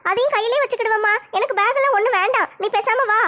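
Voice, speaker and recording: A woman voicing a modest, loving teenage daughter in a family skit, with a soft, pleading way of talking. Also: very high-pitched, fast talker, voice pushed up.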